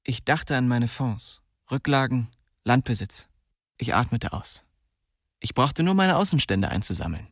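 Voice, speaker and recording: Radio drama narrator: restrained young man, husky, terse and reflective, recorded dry. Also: medium pitch, big pitch swings, measured pace, no echo. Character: young male first-person narrator of a crime story. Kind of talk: scripted radio play narration.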